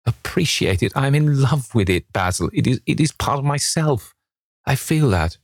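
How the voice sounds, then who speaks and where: A man's voice: sits low, fairly even intonation, moderate pace, darkish tone, husky, no echo, studio quality. A man voicing a vain, petulant young man in an impassioned, dramatic reading of a novel. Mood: passionate. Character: petulant, anguished young man, vain about his beauty.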